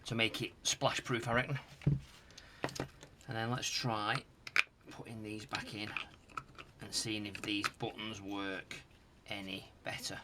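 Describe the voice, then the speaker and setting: A man with a fairly low voice talks casually through a repair. He mutters to himself in short fragments as he works, and there is clear noise and clicking on the recording. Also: little pitch movement, unhurried. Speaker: host of a retro tech repair channel. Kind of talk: casual hands-on repair commentary.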